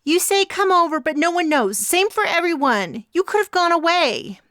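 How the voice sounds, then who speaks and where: A woman voicing a hysterical young woman, high and tense. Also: expressive, normal speed, a bit bright, no echo, clean studio sound. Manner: shrill, panicky.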